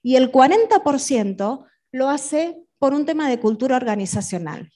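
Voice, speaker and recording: A middle-aged woman speaks in a fairly high voice. She is presenting live to a business audience as a conference speaker. Her delivery is didactic, checking in with listeners through rhetorical questions. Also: very animated, moderate pace, warm tone. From Argentina.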